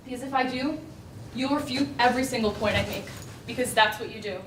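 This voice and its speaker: A woman's voice: on the high side, a little fast, background noise, natural voice. A young woman acting in a stage play as Marie, a partner worn down by belittling, speaking with bitter emotion, heard from far off in an echoing hall. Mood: resentful. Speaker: student actor. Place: school stage. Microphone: distant audience camera. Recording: echoey stage recorded from far off.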